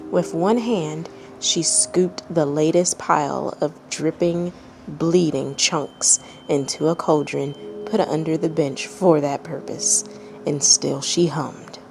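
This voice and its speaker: A woman narrates a story with dramatic, read-aloud phrasing. Her voice sits low, with a heavy creak of vocal fry, and she takes her time over the words. The recording carries clear background noise. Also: fairly even intonation, slow pace, a little mellow. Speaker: fan fiction reader and channel host.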